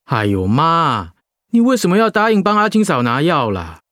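Whiny, animated younger son. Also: male voice, a little high, dry recording. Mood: whiny complaint. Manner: whiny, drawn-out interjections. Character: simple, grumbling younger son, put-upon. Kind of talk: radio drama acting.

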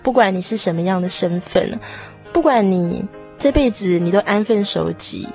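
A woman's voice: mid-range voice, normal speed, darkish tone, faint music behind. A woman guest on a radio show, sharing her reflections casually in an earnest, encouraging way.

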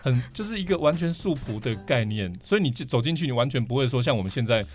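A man's voice: mid-range voice, lively intonation, fast talker, soft background music. A man with a Taiwanese Mandarin accent, talking and explaining at length as a guest in a casual podcast interview. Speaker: podcast guest, vinyl record collector.

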